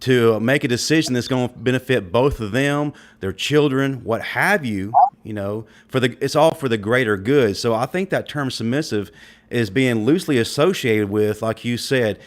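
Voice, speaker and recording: A man co-hosting a casual talk, thoughtful and measured. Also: mid-range voice. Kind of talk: casual chat.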